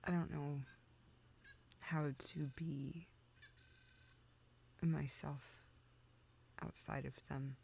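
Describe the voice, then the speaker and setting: A young woman playing a self-deprecating, vulnerable friend in an intimate audio roleplay, speaking in halting, self-interrupting dialogue. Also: low voice, steady tone, vocal fry, warm tone, slight background noise, exaggerated voice. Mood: subdued, dejected. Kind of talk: intimate, naturalistic one-sided dialogue.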